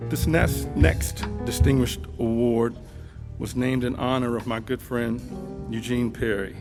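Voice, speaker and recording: A man delivers a prepared awards ceremony speech at a medium pitch. His voice carries through a reverberant hall, with music faintly present in the recording. He speaks as the presenter of the honors.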